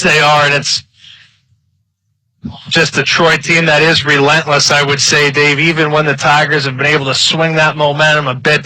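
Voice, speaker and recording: A man's voice: on the high side, bright, forceful tone, dry recording, low-quality recording. A man with an American accent giving color commentary and analysis during a live American football broadcast.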